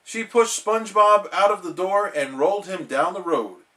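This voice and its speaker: A man's voice: sits high, expressive, normal speed, sharp tone, poor audio. A man reading a children's storybook aloud as a bedtime storyteller.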